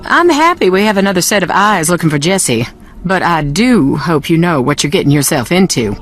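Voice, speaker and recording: A woman's voice: fairly low pitch, big pitch swings, moderate pace, soft background music. An actress playing a guarded, proud young woman in a video game, with a dry, pointed way of talking. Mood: wary, cutting. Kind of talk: video game character dialogue.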